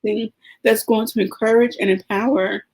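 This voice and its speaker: A woman hosting a podcast, talking sincerely and thoughtfully about her purpose, with pauses and "um" fillers. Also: fairly high pitch, fairly even intonation, a little mellow, breathy edge, low-quality recording. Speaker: podcast host. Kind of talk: casual podcast conversation.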